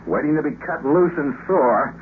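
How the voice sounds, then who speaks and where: A man acting as a flippant American astronaut captain in a 1950s radio play. His voice sits fairly high and breezy, coming through a tinny, phone-like old recording. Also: animated, moderate pace, a little hiss or hum, lightly acted. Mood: breezy, wry. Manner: flippant, wisecracking.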